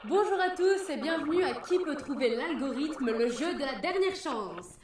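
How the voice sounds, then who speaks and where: An actress playing a polished game show host who greets callers with presenter patter. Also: female voice, sits high, lively intonation, moderate pace. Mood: welcoming. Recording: echoey, distant, loud music behind.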